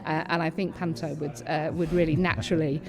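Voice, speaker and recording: A woman with a lowish voice answers in a conversational interview. She is prone to vocal tics that drop in stray words, and a busy crowd chatters clearly behind her. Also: flat delivery, a little fast. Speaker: panellist and theatre-maker being interviewed.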